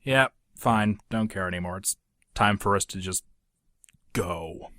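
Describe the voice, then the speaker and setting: A man's voice: deep voice, unhurried, sharp tone, dry recording. A man playing a short-tempered, exasperated documentary interviewer and narrator in a comedic cartoon parody.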